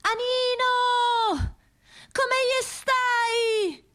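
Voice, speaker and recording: An actress voicing a lovesick Roman woman who calls out to her sweetheart in drawn-out, impassioned shouts, in theatrical style with a Roman accent. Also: extremely high pitch, fairly even intonation, a little hiss or hum, voice pushed up, full character voice. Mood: yearning, impassioned. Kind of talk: theatrical calling out from afar.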